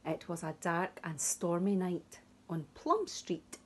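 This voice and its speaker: A woman in her thirties reading a picture book to young children expressively and deliberately, with dramatic pauses. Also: on the low side, very animated, slight background noise. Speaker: early years teacher reading to children. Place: small room at home, indoors.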